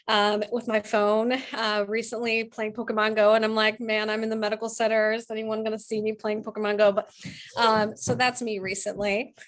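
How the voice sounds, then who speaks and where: A woman's voice: medium pitch, flat delivery, normal speed. A woman talking from home on a video call, chatty, rambling and self-deprecating. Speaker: associate program director. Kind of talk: casual video call introduction.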